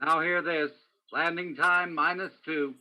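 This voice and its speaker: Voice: mid-range voice, fairly even intonation, measured pace, lightly acted. An actor in a science fiction radio play voicing a spaceship crewman who makes clipped, formal announcements. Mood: official, urgent. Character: brisk crewman paging over a spaceship intercom. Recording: clearly noisy recording with clicks.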